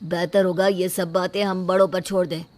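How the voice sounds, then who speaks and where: A woman's voice: on the low side, level tone, normal speed, a little mellow, lightly acted. Placating, dismissive young fiancé voiced by a woman. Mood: stern. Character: evasive young fiancé, indulgent brother and dutiful son. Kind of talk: dramatised Hindi moral story acting.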